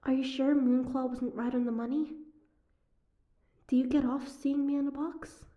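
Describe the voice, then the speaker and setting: A woman's voice: high voice, little pitch movement, moderate pace, warm tone, highs cut off, natural voice. A woman playing a sardonic, guarded captive mermaid in an audio roleplay, with a wry, teasing, prickly manner. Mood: prickly, suspicious.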